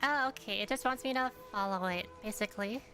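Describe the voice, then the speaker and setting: A woman's voice: medium pitch, brisk, pushed and bright, soft background music. A woman giving casual gameplay commentary, thinking aloud in a hesitant way as she plays. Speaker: gamer, streamer.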